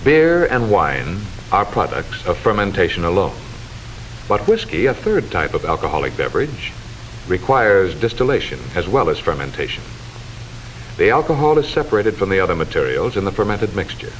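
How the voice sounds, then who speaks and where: A man delivering formal, measured, instructive scripted narration in the style of a 1940s educational film, on a hissy old soundtrack. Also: sits low, sing-song, low-quality recording.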